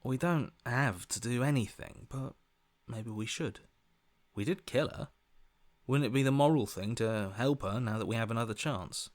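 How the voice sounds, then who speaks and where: A man voicing an earnest, anxious young hero in a video game, with a hesitant, questioning, expressive delivery. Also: mid-range voice, normal speed, no room sound, studio quality, natural voice. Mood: uncertain, conscientious. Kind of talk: video game character acting.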